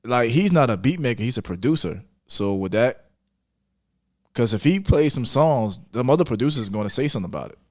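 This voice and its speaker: A man's voice: mid-range voice, animated, brisk, darkish tone. A man on a podcast panel, chatting casually and insistently, often repeating himself. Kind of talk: casual hip-hop debate.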